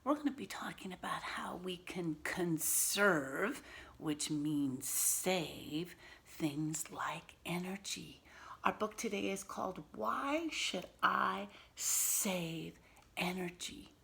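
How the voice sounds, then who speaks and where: A woman teacher reading a picture book aloud to young children, pausing to explain words and ask simple questions in an instructive way. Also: lowish voice, expressive, gravelly, fairly bright tone.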